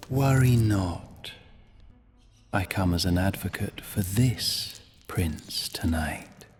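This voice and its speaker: A man voices a smooth-talking demon dealmaker, deep and unhurried, with sly, whispery asides. A long hall echo and soft music fill the space around him. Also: animated, exaggerated voice. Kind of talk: ASMR audio drama.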